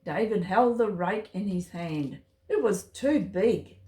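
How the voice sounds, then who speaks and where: A woman hosting a children's storytime, reading aloud simply and deliberately and addressing young listeners directly. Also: medium pitch, lively intonation, mellow. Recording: roomy, echoey home recording.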